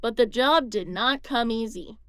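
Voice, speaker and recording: A woman's voice: a little high, a little slow, fairly bright tone, clear, resonant tone, dry recording. A woman reading scripted documentary narration. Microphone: close dry studio voice-over.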